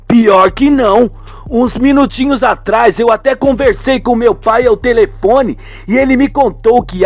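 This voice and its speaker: A concerned husband, acted by a man in a radio soap, passing on news with a knowing, matter-of-fact manner. His voice is high, with soft music behind. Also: exaggerated voice.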